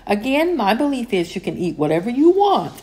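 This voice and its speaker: A woman narrating a home cleaning vlog as a calm, scripted voice-over, steady and homey, with lines that fall at the end. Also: fairly high pitch, fairly quick, low-quality recording. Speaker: cleaning vlogger.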